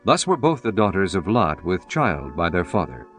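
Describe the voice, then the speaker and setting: Male narrator, deepish voice, solemn scripture reading, formal, expressive intonation, music underneath. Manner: formal, measured archaic reading. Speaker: audiobook narrator.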